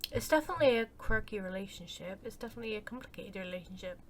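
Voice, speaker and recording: A woman's voice: mid-range voice, animated, racing. A woman streamer doing casual game commentary and reading dialogue choices aloud, with keyboard clicks behind her. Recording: noisy, with clicks and typing.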